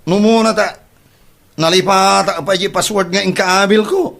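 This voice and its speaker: A man's voice: high voice, fairly even intonation, normal speed, gravelly, a bit bright. A man playing a boastful, debt-ridden Ilocano man who whines and stammers in a comedy drama. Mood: flustered, panicky. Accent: Filipino, Ilocano. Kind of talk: comedy audio drama acting.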